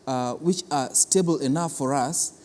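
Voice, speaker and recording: A man with a Kenyan accent explaining a programme in an interview as an official, deliberate and repeating words for emphasis. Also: on the high side, a little mellow, slight background noise. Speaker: cultural centre official being interviewed. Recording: reverberant hall.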